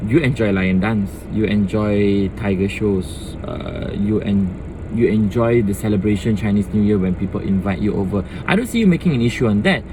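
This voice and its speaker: A man's voice: on the low side, normal speed, noisy background. Impassioned Malaysian man ranting outdoors. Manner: impassioned, switching between English and Malay. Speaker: vlogger. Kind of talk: casual opinion rant to camera.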